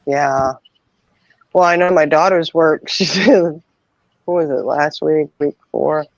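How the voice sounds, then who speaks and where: A woman's voice: deep voice, a little slow, a little mellow, dry recording, highs cut off. An older woman, a grandmother, chatting in a folksy, sympathetic way with easy laughter. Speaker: co-host. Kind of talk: casual chat.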